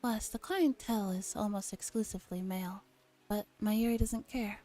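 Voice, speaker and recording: A woman streamer gently reading a visual novel aloud in a soft ASMR style, with relaxed casual asides. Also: mid-range voice, moderate pace. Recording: music behind the voice.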